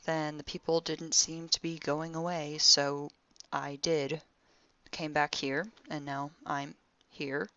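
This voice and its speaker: A dull, close recording with very little room sound carries a young crewwoman's personal log from a sci-fi audio drama, acted by a woman. Her voice sits low. She is wry and sardonic, delivering her exasperation in a deadpan, conversational way. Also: takes it slowly, no echo, heavily acted. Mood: dry, subdued.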